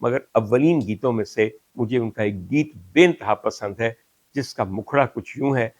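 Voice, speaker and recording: Older Pakistani male host, dry close sound, declaims poetry with slow emphasis. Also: mid-range voice, no echo. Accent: Pakistani Urdu. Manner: declaiming Punjabi verses slowly and emphatically. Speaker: talk show host. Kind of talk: reflective monologue.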